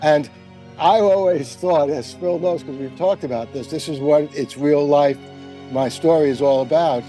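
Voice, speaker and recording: A man on a discussion panel speaking thoughtfully and articulately, in measured full sentences with deliberate emphasis. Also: on the high side, mellow, soft background music, low-quality recording. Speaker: panelist in a radio roundtable.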